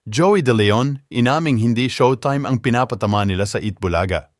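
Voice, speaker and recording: A man's voice: medium pitch, expressive, normal speed. Man narrating news, faint noise. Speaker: news voice-over reporter. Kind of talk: scripted entertainment news narration.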